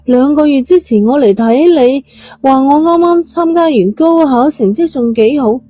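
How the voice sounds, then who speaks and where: A woman's voice: sits high, normal speed, warm tone, no room sound, faint music behind, pitched above the performer's own voice, natural voice. A woman voicing a defiant, detached teenage girl in a storybook reading, blunt and offhand. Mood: defiant, confessing.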